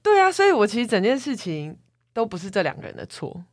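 一位帶台灣腔國語的女性，以播客主持人的身分輕鬆閒聊職場話題，講話生動。. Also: female voice, medium pitch, quick pace, no echo, studio quality. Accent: Taiwanese Mandarin. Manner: animated, reads a listener's story aloud dramatically. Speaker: podcast co-host. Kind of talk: casual chat about workplace stories.

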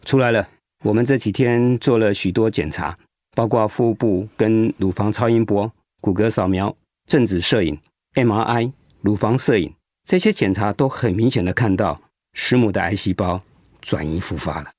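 An actor playing a kind, steady male oncologist in a radio drama, explaining things in a reassuring way. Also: lowish voice, normal speed, dry recording, clean studio sound, natural voice. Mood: grave, regretful. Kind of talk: Mandarin radio drama acting.

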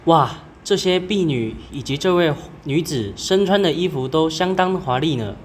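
A man's voice: a little high, expressive, moderate pace, close to an everyday voice. A teenage male student playing a polite, curious traveling officer in an amateur radio drama. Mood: impressed. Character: polite, curious young traveling general seeking shelter. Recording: rain and thunder noise behind. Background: rain, thunderstorm.

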